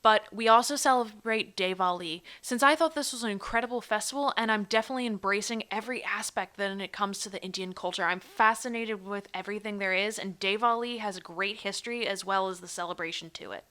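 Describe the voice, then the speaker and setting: An American woman presents herself and her memories as a guest. Her voice is middle in pitch, bright and forceful, with a smooth, clear finish. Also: fast, few pauses. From Pennsylvania, United States. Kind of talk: personal storytelling and presentation.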